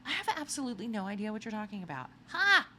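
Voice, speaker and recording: A clever, determined young sleuth voiced by a woman reading a storybook makes each point with insistent emphasis. The delivery is very animated in pitch, over a faintly noisy recording. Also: fast. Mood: playful, teasing. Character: clever, determined young narrator hero, eager amateur sleuth.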